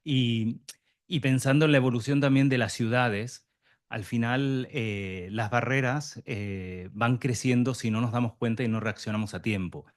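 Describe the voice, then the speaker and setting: Argentine guy from buenos aires, thinking out loud at a panel, lots of pauses and eh's, normal pitch. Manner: hesitant, many fillers and restarts, reflective. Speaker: representative of mediator psychologists. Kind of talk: closing remarks at a round table. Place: meeting room with in-person and online audience.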